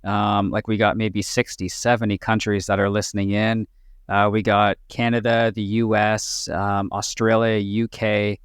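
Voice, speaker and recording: A man's voice: lowish voice, little pitch movement, measured pace, clear, resonant tone, no echo, clean studio sound. A man hosting a podcast interview in a casual style, asking loose, rambling questions. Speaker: podcast host.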